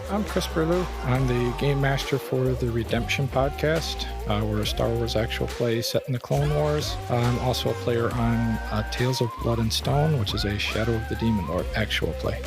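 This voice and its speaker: A man's voice: medium pitch, moderate pace, vocal fry, hardly any pauses, over music. A man casually narrating and chatting as a player in a tabletop game.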